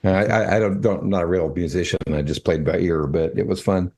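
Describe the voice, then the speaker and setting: An older man with a low, mellow voice talks casually as a co-host. He stops and restarts often and fills the gaps with ums. His tone stays soft and unhurried. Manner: halting, with many fillers and restarts. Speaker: podcast co-host. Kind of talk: casual chat.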